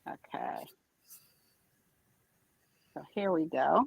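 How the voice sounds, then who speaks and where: A woman's voice: deep voice, expressive, drawn-out words, creaky, husky, dry recording, dull audio. A woman with an Israeli accent tinged with New York, chatting as she narrates her steps in a live craft demonstration. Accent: Israeli with New York traces. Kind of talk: live crafting demo chat.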